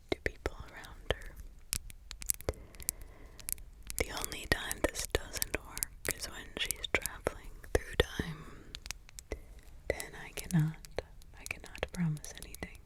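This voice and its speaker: A woman's voice: low voice, voice pushed down, close to an everyday voice. A woman whispering in ASMR roleplay as a weary, wry witch mother hiding in the forest. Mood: musing. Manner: unvoiced whisper, slow and drawn-out. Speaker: ASMR creator.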